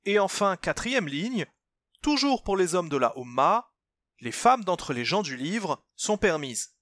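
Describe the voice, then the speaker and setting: A man's voice: high voice, sing-song, a little slow, fairly bright tone, no echo, studio quality. A man giving a scripted, step-by-step explanation as a presenter, didactic and emphatic, speaking directly to the listener. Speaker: presenter of a religious commentary channel. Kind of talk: scripted explanatory narration, step-by-step textual analysis.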